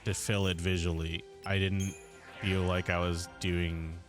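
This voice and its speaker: Low-voiced man chatting over gameplay. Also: flat delivery, measured pace, soft background music. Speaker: video game let's play host. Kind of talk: casual gameplay commentary chat.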